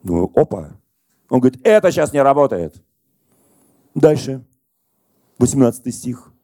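A middle-aged man preaching a sermon as pastor, telling stories and questioning the congregation in a lively conversational way. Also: mid-range voice, measured pace, dry recording, clean studio sound. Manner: conversational, animated storytelling to congregation.

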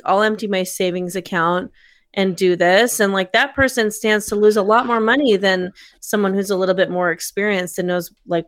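A woman chatting casually on a podcast, frank and animated, with a fair bit of swearing. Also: mid-range voice. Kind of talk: casual chat.

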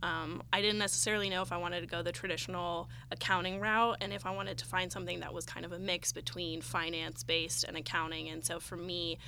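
Young woman, vocal fry, low pitch, student guest, casual answers, noisy background. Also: flat delivery, fairly quick, few pauses, bright, forceful tone. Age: young adult. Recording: clearly audible background noise.

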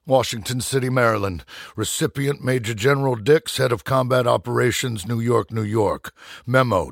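A commanding Secretary of War in an audio drama. His mid-pitched voice has a gravelly creak and sits in a dry, close space. He speaks tersely and formally, with grim authority. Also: no echo.

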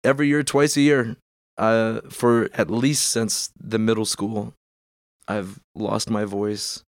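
A man's voice: fairly low pitch, level tone, takes it slowly, no echo, clean studio sound. A man hosting a casual chat, congested and losing his voice, talking in loose rambling bursts. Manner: rambling, filler-heavy. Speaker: podcast host.

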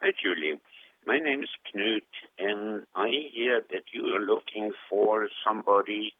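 A man's voice: fairly low pitch, measured pace, phone-quality sound, noisy background. A man from Norway with a Norwegian accent, introducing himself in a modest, self-deprecating way.

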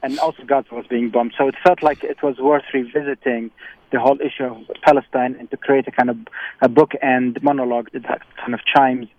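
A man explains how he wrote his work, his words arriving in thoughtful, halting stretches full of "uh" and restarts. He is on a narrow, tinny phone line, and the sound is dry and close with no room around it. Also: medium pitch, steady tone, dry recording. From born in Prague, raised partly in Baghdad. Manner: thoughtful, many fillers and restarts. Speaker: playwright and performer. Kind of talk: interview answers, reflective explanation.

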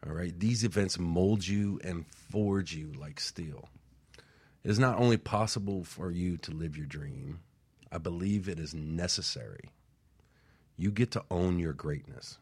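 Deep husky man preaching motivation. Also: a little slow, a little mellow. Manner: emphatic, preaching cadence. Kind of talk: motivational speech.

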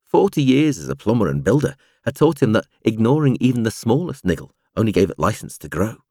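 Low-voiced, expressive male audiobook narrator. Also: no echo, clean studio sound. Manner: expressive, measured storytelling delivery. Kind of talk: scripted literary narration.

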